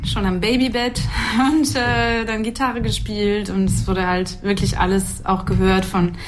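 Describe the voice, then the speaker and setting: A woman from France, a native French speaker with a light French accent, giving chatty, animated answers as a singer in an interview. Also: medium pitch, measured pace, a little hiss or hum. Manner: chatty, animated, thinks aloud with restarts.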